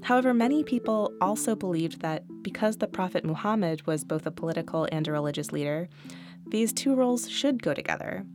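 Woman host narrating a podcast in a low voice. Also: lively intonation, a little fast, music in the background. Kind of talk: scripted narration.

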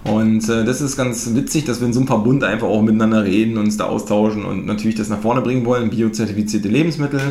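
A man's voice: on the low side, steady tone, quick pace, hardly any pauses, a little mellow. Chatty man from eastern Germany. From Mecklenburg-Western Pomerania, eastern Germany. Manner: chatty, many fillers and tag questions. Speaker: podcast host. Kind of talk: casual conversation. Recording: roomy with faint noise.

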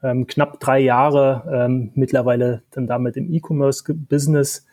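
A man's voice: medium pitch, flat delivery, moderate pace, mellow. A man from Berlin with a Berlin accent, a tech guest on a podcast, talking casually with many fillers and hesitations. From Berlin, Germany.

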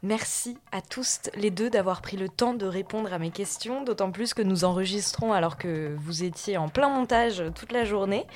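A woman hosting a podcast interview in a relaxed, chatty way, murmuring agreement often. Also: medium pitch, normal speed, few pauses. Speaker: podcast host. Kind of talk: casual conversational interview.

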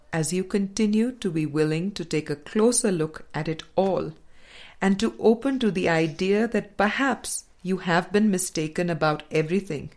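A woman reading a spiritual dialogue book aloud as an audiobook, in a measured, deliberate manner with pauses. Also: fairly low pitch, a little mellow. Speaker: audiobook reader.

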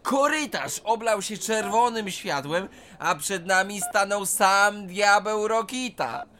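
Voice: mid-range voice, slow pace, bright, forceful tone, a little hiss or hum. A young, protesting pit lad. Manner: insistent, protesting. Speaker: young pit helper in an audio drama. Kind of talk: radio drama acting.

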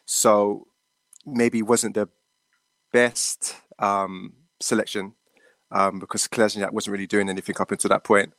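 Male panel guest, halting, filler-heavy, dry mic. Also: fairly low pitch, little pitch movement, fairly bright tone, dry recording. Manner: halting, lots of ums. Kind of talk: casual fan chat.

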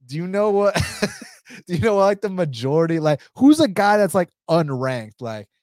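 A man's voice: high-pitched, normal speed, no room sound, studio quality. Profane young man breaking down fights. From United States. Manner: profane, animated, talks straight to viewers. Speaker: MMA fight picks commentator. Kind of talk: casual commentary.

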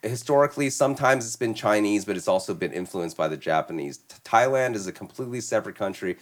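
A young man from the United States talks in a relaxed, slangy way, dropping "man" and "you know what I mean" into nearly every thought. His voice sits low but moves a lot in pitch, rising and falling as he explains. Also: fast talker. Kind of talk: casual chat.

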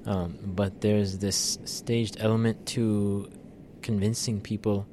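A man's voice: lowish voice, level tone, slow pace, dark tone, a little hiss or hum. A man co-hosting a casual political podcast, talking thoughtfully with lots of fillers and restarts. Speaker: podcast co-host.

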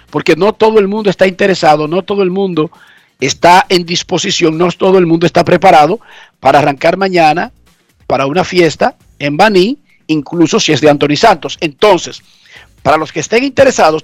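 A man's voice: sits high, no echo. A man hosting a radio show from his home garage, talking chattily and emphatically while congested with a cold. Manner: chatty, emphatic, explains things repeatedly. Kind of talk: casual radio talk.

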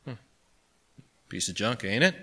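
A brash, penny-pinching haggler from a storybook acted out by a man talks in loud, affable bluster with an indignant edge. His bright, forceful voice leaps around in pitch. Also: sing-song, measured pace, full character voice.

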